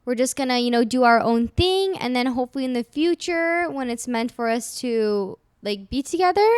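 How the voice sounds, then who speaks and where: High-voiced young woman chatting casually. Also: lively intonation, moderate pace, smooth, no room sound, studio quality. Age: 20s. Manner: rambling, filler-heavy, laughs often. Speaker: podcast co-host. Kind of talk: casual chat.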